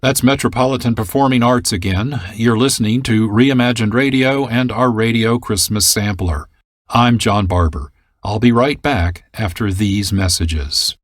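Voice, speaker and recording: A man's voice: on the low side, measured pace, no echo, studio quality. A man hosting a radio program with scripted announcements.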